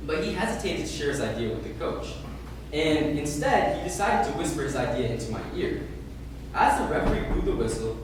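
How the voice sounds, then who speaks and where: A young man delivering a rehearsed public speech as a student speaker, with deliberate, emphatic, oratorical phrasing. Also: medium pitch, expressive. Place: live talk in a large room. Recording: roomy, distant, noisy event recording.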